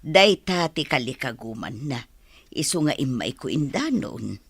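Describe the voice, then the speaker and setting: A woman playing a conciliatory middle-aged village mother in a radio drama, husky, with an Ilocano accent. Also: rumbling low, very animated, a little slow, fairly bright tone, no room sound, exaggerated voice. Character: conciliatory village mother of a bride-to-be, slow-talking.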